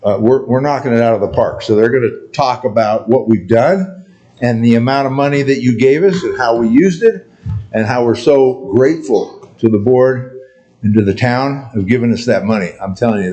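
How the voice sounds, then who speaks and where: Soft-spoken guy giving an update at a town board meeting, loose and jokey, lots of uhs. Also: mid-range voice, rough recording. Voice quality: quiet-voiced. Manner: relaxed, joking, loose with fillers and restarts. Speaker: county emergency manager presenting to town board. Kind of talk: informal presentation at a public meeting.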